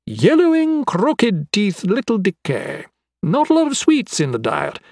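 A man's voice: high-pitched, wildly swooping pitch, a little slow, creaky, a little mellow, no room sound, studio quality, voice pushed up, exaggerated voice. A man voicing a cheerful, youthful, eccentric anatomist in an audiobook, effusive and chatty with a macabre relish. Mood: eager, chatty.

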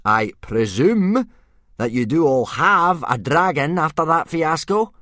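A man voicing a gruff, blustering male Viking drill instructor who bellows at his young recruits. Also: a little high, expressive, unhurried, sharp tone, no echo, clean studio sound, voice pushed up. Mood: stern.

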